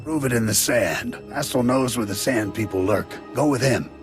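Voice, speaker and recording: An actor playing a gruff, stoic militia leader with a terse, commanding manner in a video game. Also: male voice, medium pitch, normal speed, close to an everyday voice. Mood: grim, terse. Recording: faint background music.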